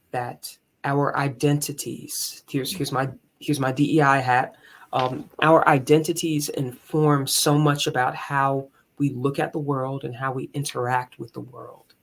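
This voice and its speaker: A man with a higher tenor voice, speaking as a musician and conductor in an earnest, candid, reflective way in a conversational interview. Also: creaky, a bit bright.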